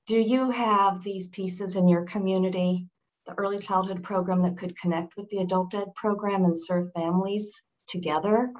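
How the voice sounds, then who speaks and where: A woman hosts a webinar and wraps it up in a measured, thankful way. Her voice sits at an ordinary middle pitch. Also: fairly even intonation, a little mellow, poor audio. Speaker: webinar host. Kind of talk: closing remarks.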